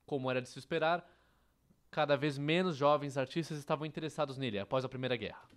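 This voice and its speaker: A man's voice: medium pitch, fast, clear, resonant tone. Male narrator, Brazilian accent, mid-range voice, scripted educational explainer read. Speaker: educational presenter dubbing a theatre history course.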